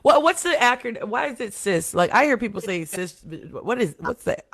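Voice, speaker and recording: A woman with a deep voice hosting a live talk show, chatting casually and rambling with laughs. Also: lively intonation, moderate pace, vocal fry. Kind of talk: casual live commentary chat.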